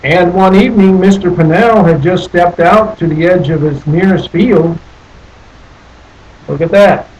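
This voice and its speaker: A man reading a picture book aloud as a storyteller, deliberately, with questions and asides to his young listeners. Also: high voice, a little mellow, lo-fi sound. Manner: deliberate, asks the listeners questions and repeats phrases.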